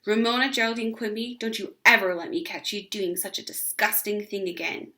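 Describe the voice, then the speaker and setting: A woman voicing an exasperated mother in a children's story, firm, brisk and emphatic with her little girl. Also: mid-range voice, flat delivery, sharp tone. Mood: angry, firm.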